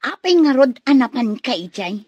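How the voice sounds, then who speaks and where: Lady acting a nosy jealous gossip for radio drama, really high voice, dry close sound. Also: very animated, moderate pace, a little mellow, slightly rough, no echo, highs cut off, full character voice. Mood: prying, suspicious.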